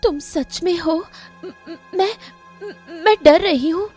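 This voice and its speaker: Casting: young village girl woman performer, timid and innocent, high voice, halting stammered delivery, cartoon moral story. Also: darkish tone, soft background music. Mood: frightened. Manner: breathless, stammering, repeats words in fear.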